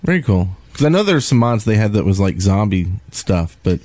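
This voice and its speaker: A man's voice: on the low side, very animated, brisk, darkish tone, no echo. A man chatting casually as co-host of a gaming podcast.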